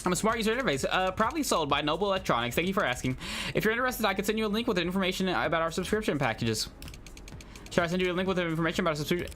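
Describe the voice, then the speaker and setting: A man's voice: sits high, lively intonation, very fast, a bit bright, faint music behind, voice pushed up. A man voicing a polite, humorless female AI receptionist in formal customer-service phrases, reading from a visual novel game. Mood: flatly helpful.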